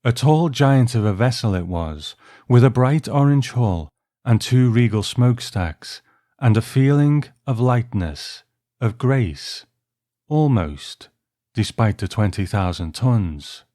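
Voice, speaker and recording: A man's voice: medium pitch, lively intonation, slow pace, dark tone, dry recording, studio quality. A man reading a first-person short story as a wry young newlywed husband recalling a voyage. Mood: wry, reflective.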